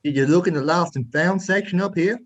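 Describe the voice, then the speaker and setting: A man's voice: high-pitched, a little fast, muffled recording. A man playing an old, grumbling ghost grandfather in an amateur radio drama.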